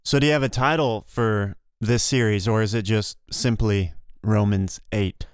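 Smooth-voiced man co-hosting a podcast. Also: fairly low pitch, steady tone, normal speed, no room sound, studio quality. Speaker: podcast co-host. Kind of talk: casual podcast chat.

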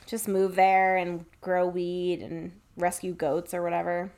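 A woman's voice: lowish voice, monotone, a little slow, a little mellow. A young woman co-hosting a podcast in casual, sweary banter, irreverent and self-deprecating. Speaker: podcast co-host.

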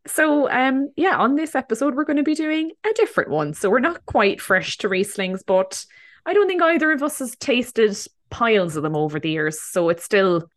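Chatty woman hosting a podcast. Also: mid-range voice. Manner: laughs often, bubbly and chatty. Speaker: podcast host. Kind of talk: casual wine podcast chat and scripted intro.

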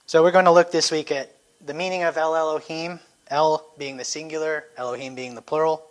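A man's voice: fairly high pitch, fairly even intonation, a little fast. A middle-aged man teaching a Sunday school class into a microphone, talking conversationally with fillers and self-corrections. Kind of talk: church class lesson. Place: church classroom. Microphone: handheld or stand microphone.

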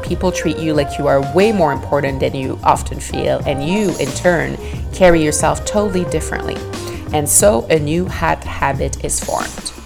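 A woman's voice: deep voice, gravelly. A woman hosting an interview podcast, reading a scripted introduction and then chatting casually with her guest. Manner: warm scripted intro, then relaxed conversational. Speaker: podcast host.

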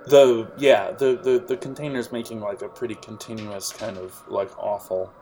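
A man narrating a tabletop role-playing game as game master, halting with fillers and restarts. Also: on the low side, steady tone, mellow, slight background noise.

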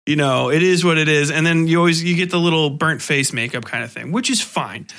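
A man hosting a casual interview, talking in an animated, freely swearing way and acting out little sound effects. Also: a little high, brisk. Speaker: podcast host interviewing a guest.

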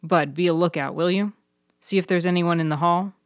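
A woman voicing an awkward, dryly witty male friend in an audio story, measured and a little wordy. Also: lowish voice, fairly even intonation, dry recording, studio quality, natural voice. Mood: brisk.

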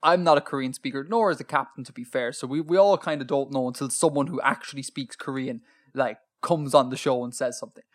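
A man's voice: mid-range voice, quick pace. A man co-hosting a podcast in casual chat, talkative and self-deprecating, with plenty of ums. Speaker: podcast co-host.

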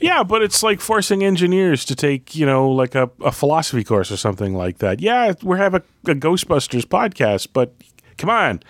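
A man's voice: fairly high pitch, sing-song, moderate pace, no echo, studio quality. A man co-hosting a casual podcast, nasal-voiced, teasing dryly and explaining his points at length. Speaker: podcast co-host. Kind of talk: casual chat.

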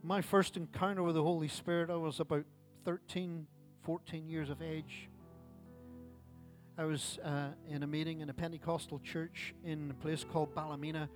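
A man's voice: a little high, little pitch movement, normal speed, soft background music. A man, preaching a sermon at a church service in a conversational way with self-deprecating asides. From Ireland. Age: 60s. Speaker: pastor.

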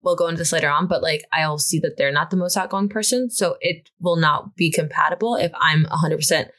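Young woman podcast cohost, lowish voice, rambly chatty, says like a lot. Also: fairly quick, a bit bright. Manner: rambling, many "like" fillers. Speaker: podcast co-host. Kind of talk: casual chat.

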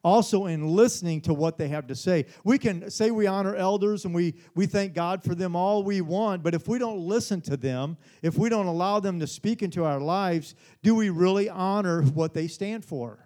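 A man in his forties preaches at a midweek Bible study, with a notably high voice. He talks earnestly to the congregation, often repeating words and checking in with them. His delivery is sincere and conversational, not polished. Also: normal speed, hardly any pauses, darkish tone. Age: 40s. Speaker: pastor.

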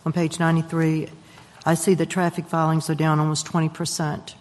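A woman's voice: low voice, monotone, a little fast, darkish tone. Council member, woman, plain and direct, long room reverb. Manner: direct, conversational questions. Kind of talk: questioning at a public meeting.

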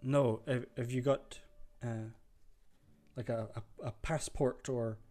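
A man's voice: medium pitch, steady tone, slow, warm tone, soft background music. A man voicing a tired, disheveled older guard captain who stammers and hesitates while staying politely suspicious. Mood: defensive.

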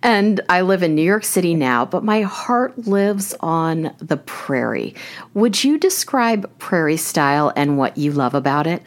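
Woman interviewer from Kansas with a very low voice, asking lively, curious questions that swing widely in pitch. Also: unhurried.

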